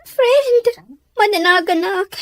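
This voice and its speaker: A woman voicing a petulant, anxious high-school daughter in an audio drama, whiny and pouting, with an Ilocano Filipino accent. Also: animated, slow, heavily acted. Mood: anxious, tearful.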